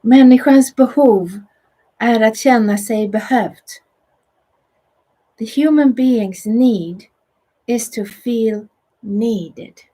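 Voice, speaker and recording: A middle-aged woman with a Swedish accent, whose first language is Swedish, leading a guided meditation and spiritual teaching in a soft, soothing voice that often sinks to a whisper. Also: fairly high pitch, takes it slowly, long pauses, poor audio.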